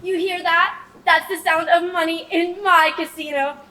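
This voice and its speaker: A woman's voice: extremely high pitch, fairly even intonation, normal speed, bright, forceful tone. A teenage girl playing a pompous, swaggering casino boss in a comic stage heist. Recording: distant stage microphone, faint noise.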